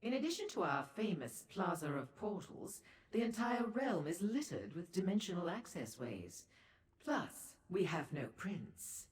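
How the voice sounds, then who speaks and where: A low, sultry demon seductress for a fantasy video game. She is theatrical and worldly, toying with the listener in ornate, patronizing phrases. Her pitch glides widely, and the voice rings with long, echoing reverb. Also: sing-song, measured pace. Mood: amused, alluring. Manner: theatrical, coy, languid purring delivery with ornate formal phrasing. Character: seductive female dark seducer Daedra merchant, worldly and condescending. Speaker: information broker and proprietor. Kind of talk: video game character dialogue. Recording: echoey hall-like reverb on the voice.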